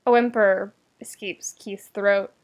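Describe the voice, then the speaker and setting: A woman reading a story aloud as narrator, with expressive, wry delivery and dramatic emphasis. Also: mid-range voice, slow, dull audio. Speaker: podfic narrator. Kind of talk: expressive scripted fiction narration.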